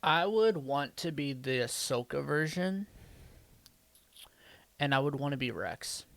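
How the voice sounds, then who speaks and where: A man's voice: on the high side, big pitch swings, a little slow, creaky, a bit bright, no room sound. A man co-hosting a podcast in casual banter. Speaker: podcast co-host.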